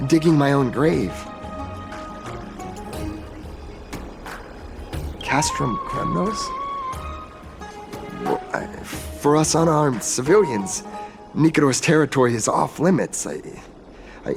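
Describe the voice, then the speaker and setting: A man's voice: mid-range voice, expressive, measured pace, creaky, music in the background. An actor playing a nervous, timid artistic man in a video game, stammering and apologetic, with hesitant, flustered delivery. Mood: nervous, earnest. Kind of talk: video game character acting.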